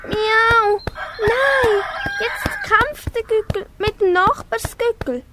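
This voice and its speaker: A woman's voice: very high-pitched, sharp tone, full character voice. A young girl with a Swiss German accent playing a small, lonely, curious kitten in an amateur school radio play, meowing and earnest. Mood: alarmed. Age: child or young teen. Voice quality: childlike, meowing. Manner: slow, earnest story-acting with meows. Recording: faint background noise.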